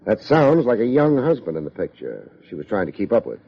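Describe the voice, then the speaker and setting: An actor playing a tough, wry American private investigator in a 1950s radio drama, terse, slangy and deadpan. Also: male voice, on the low side, a little fast, creaky, husky, heavily acted. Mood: brisk questioning. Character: tough, wry male private investigator narrating his case. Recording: dull old radio recording, music behind.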